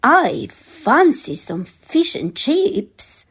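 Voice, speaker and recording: A woman plays a hungry storybook king, a decisive and helpful man. He gives orders very slowly and deliberately, with a lively, commanding rise and fall. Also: high-pitched, breathy edge, voice pushed up, heavily acted. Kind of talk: children's storybook character voice.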